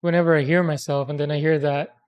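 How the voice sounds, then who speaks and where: A woman's voice: deep voice, flat delivery, fast, a little mellow. Chatty woman with a Filipino accent. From Philippines. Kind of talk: casual chat.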